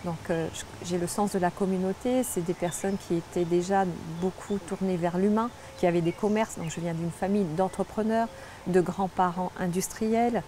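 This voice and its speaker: A woman's voice: fairly low pitch, fairly even intonation, moderate pace, mellow, a little hiss or hum. A middle-aged woman from French Guiana, a guest telling her life story in an earnest, reflective way with frequent restarts. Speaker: interview guest, spiritual guide. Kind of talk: personal testimony in conversation.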